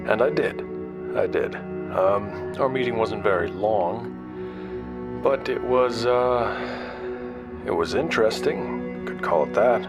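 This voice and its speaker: A man acting as a wary, skeptical investigator in a sci-fi audio drama, speaking in a halting, understated, conversational way. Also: sits low. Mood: weary, reflective. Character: wary, skeptical male investigator broadcasting in secret. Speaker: narrator and lead character. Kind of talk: audio drama acting, confessional broadcast narration and dialogue. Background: prominent background music.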